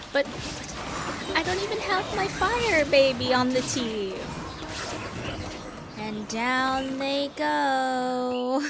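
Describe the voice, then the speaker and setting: A woman doing casual let's play commentary, with playful, reactive short asides. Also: high-pitched. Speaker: gaming host. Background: game music.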